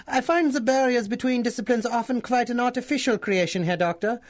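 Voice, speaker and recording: An actor playing a suave, calculating young German professor with a German accent and a formal, unctuous, deliberate way of speaking, in a 1930s-style radio drama. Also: male voice, extremely high pitch. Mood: smooth, lofty.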